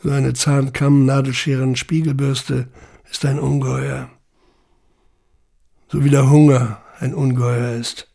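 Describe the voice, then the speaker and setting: A man's voice: mid-range voice, flat delivery, measured pace, gravelly, pauses often, warm tone. A man delivering scripted first-person literary narration in an intense, brooding manner.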